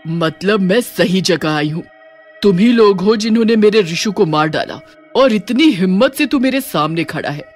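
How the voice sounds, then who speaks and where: A man's voice: high-pitched, lively intonation, normal speed. A man voicing a vengeful, menacing female witch with a heavy voice in a horror story, music behind. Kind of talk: animated horror story dialogue.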